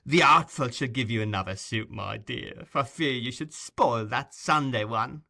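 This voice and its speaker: A man's voice: medium pitch, unhurried, gravelly, sharp tone. A man voicing a sly old villain in an audiobook, oily, wheedling and falsely humble. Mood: mock humility.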